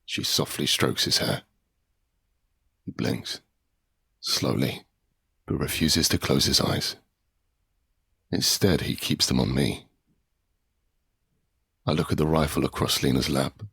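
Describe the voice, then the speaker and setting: An actor playing a guilt-ridden survivor in an audio drama, speaking in halting, intense first person. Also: male voice, a bass voice, gravelly, pushed and bright, husky, exaggerated voice. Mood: tense, hushed narration. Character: grief-stricken guilt-ridden male survivor, narrating his ordeal.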